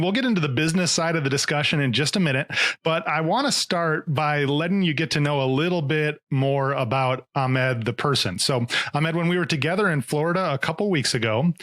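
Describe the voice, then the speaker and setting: A man's voice: fairly high pitch, fairly bright tone, no room sound. A man hosting a podcast interview, who asks his guest questions in a friendly way. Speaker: podcast host.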